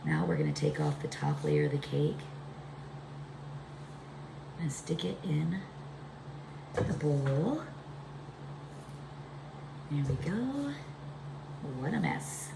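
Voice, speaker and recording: A middle-aged woman talks casually through a home recipe. Her voice is deep and congested from a chest cold, with a gravelly creak. The kitchen around her is echoey. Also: big pitch swings, takes it slowly, pauses often. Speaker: home cooking video host. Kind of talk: casual cooking demonstration talk. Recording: echoey room with background noise.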